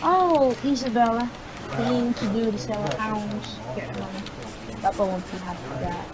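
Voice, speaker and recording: A woman gamer reading visual novel narration aloud, halting and stumbling over words. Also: mid-range voice, over a noisy crowd, poor audio.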